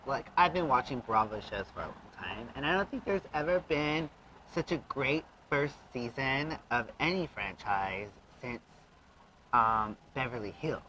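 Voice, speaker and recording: A man's voice: medium pitch, lively intonation, a little slow, sharp tone, slight background noise, low-quality recording. A man co-hosting a casual reality TV recap, emphatic and acting out what others said. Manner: emphatic, acts out quotes. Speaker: podcast co-host.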